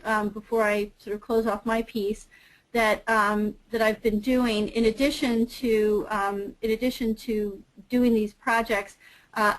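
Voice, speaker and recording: A woman's voice: mid-range voice, poor audio. A woman speaking as a webinar panelist, hesitant, with frequent ums and restarts. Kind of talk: casual talk on a webinar.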